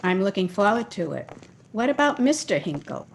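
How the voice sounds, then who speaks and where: Faint noise sits behind a woman playing a sweet young fiancée from an old radio drama. She is affectionate and coy, with a medium-pitched voice. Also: very animated, moderate pace, vocal fry. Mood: curious, teasing. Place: indoors, recorded over a video call. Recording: faintly noisy home video-call recording.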